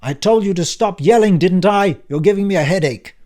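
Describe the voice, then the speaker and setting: Guy putting on a cranky old uncle, raised pitch, loud and bossy, sardonic and rude. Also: brisk, lightly acted. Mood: brusque. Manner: gruff, cutting, bossy. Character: brash, impatient older uncle, rude and sardonic. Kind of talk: audiobook character voice.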